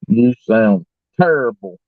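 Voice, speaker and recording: A man's voice: mid-range voice, animated, slow pace, no echo. A man with a North Carolina and Tennessee accent, teasing his co-host in a joking chat with viewers. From Southern United States. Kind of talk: casual chat reading live comments. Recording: phone-like narrow sound.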